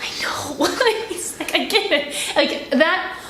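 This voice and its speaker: A woman's voice: extremely high pitch, expressive, takes it slowly, a bit bright, whispered. A woman hosting a movie review in casual, unscripted conversation. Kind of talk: casual unscripted film discussion. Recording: roomy echo, light background noise.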